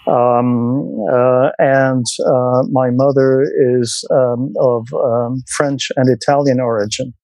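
Halting Swiss man in his sixties. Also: mid-range voice, level tone, darkish tone, dry recording, low-quality recording. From Switzerland. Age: 60s. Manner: hesitant, many ums and restarts; laughs easily. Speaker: guest. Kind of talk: podcast interview.

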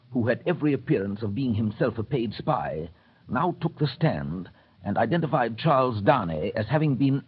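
An actor narrating an old-time radio drama as a grave, measured storyteller with a husky voice. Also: male voice, mid-range voice, heavily acted. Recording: tinny, narrow vintage radio recording.

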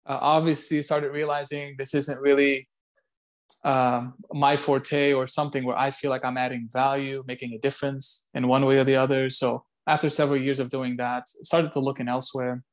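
A man from Belgium answers interview questions in a casual way. His voice sits at a medium pitch, and he speaks haltingly, often doubling up on words as he puts his thoughts together. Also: flat delivery. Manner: halting, repeats words as he goes. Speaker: interview guest, call center site director.

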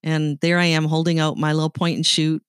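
A woman's voice: low-pitched, flat delivery, fairly quick, clear, resonant tone, no echo, studio quality. A middle-aged woman from Canada with a light Canadian accent, co-hosting a podcast and telling stories in a chatty, laughing manner. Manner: chatty storyteller, laughs often. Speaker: podcast co-host. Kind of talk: casual chat.

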